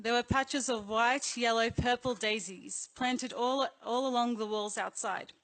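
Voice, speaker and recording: Higher-pitched young Australian woman giving a speech. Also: fairly bright tone, low-quality recording. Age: young adult. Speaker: youth delegate. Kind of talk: prepared speech to an assembly.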